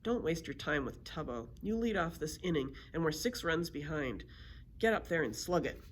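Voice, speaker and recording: A woman voicing boisterous schoolboys calling out loudly on a ball field in a storybook. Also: deep voice, very animated, moderate pace, a little hiss or hum. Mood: scornful urging. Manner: loud calling out.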